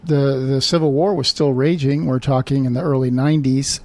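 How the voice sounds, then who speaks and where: A man's voice: medium pitch, steady tone, moderate pace, a little mellow. A middle-aged man from Guatemala, a physician guest in an interview, speaking in a hesitant way with many fillers and restarts. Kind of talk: conversational interview.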